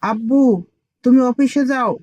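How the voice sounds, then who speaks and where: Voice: mid-range voice, steady tone, normal speed, very noisy, lightly acted. An actor voicing a cheeky, confident five-year-old boy who plays doctor in a comic village cartoon. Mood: cocky. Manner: confident, know-it-all.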